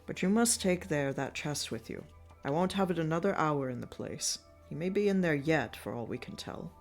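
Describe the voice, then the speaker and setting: A woman's voice: low-pitched, lively intonation, moderate pace, soft background music. A woman voicing an eager farmer man who begs to buy something and frets about a chest. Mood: uneasy.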